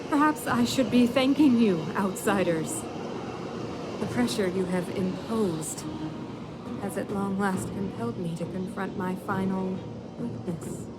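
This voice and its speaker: Woman acting slow, imperious zealot queen over loud music. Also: medium pitch, lightly acted. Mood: solemn, commanding. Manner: grandiose, deliberate, solemn oratory. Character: imperious, fanatical ruling mother, a villainous zealot. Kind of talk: theatrical video game cutscene acting.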